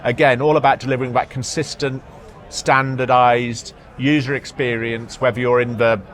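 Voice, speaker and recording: A man speaking as an executive in a product interview, halting, often repeating words and hesitating as he explains. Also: mid-range voice, flat delivery, a bit bright. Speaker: marketing executive being interviewed. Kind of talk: product interview at a trade show. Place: trade show floor.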